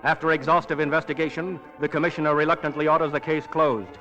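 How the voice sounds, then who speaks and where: Over clear music on a dulled old radio recording, a grave official. His fairly high voice reads records in clipped, measured phrases. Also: steady tone, pushed and bright, muffled recording. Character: solemn official reader of police records. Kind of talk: 1940s radio announcing.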